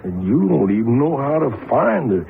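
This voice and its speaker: An actor playing a gentle, weary old father near death in a radio drama, on a tinny, echoey recording. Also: medium pitch, sing-song, normal speed, gravelly, a little hiss or hum. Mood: weary, tender.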